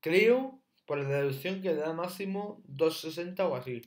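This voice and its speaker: A young man hosting a reaction video, mocking with loud repeated exclamations as he comments on clips from his room. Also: on the high side, animated, moderate pace.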